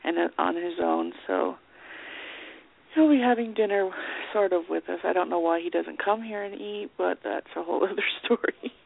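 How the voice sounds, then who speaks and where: A woman's voice: lowish voice, very animated, normal speed, vocal fry. A middle-aged woman chatting casually as a radio show co-host, over a narrow phone-like line. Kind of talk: casual chat.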